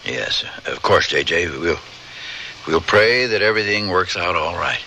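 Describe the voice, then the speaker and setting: A man's voice: sits low, lively intonation, measured pace, noisy background. An actor playing a kindly, folksy townsman in a radio drama. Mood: kindly, solemn.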